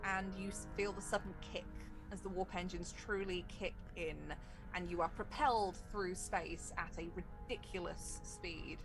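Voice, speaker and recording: A woman's voice: lowish voice, fairly even intonation, measured pace, music in the background, low-quality recording. A woman acting as game master, improvising descriptive narration for a tabletop roleplaying game.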